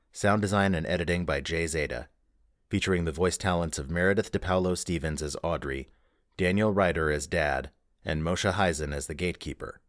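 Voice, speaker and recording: A man's voice: low voice, no echo, clean studio sound. A man reading scripted announcements and credits as the host of an audio play showcase. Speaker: festival announcer.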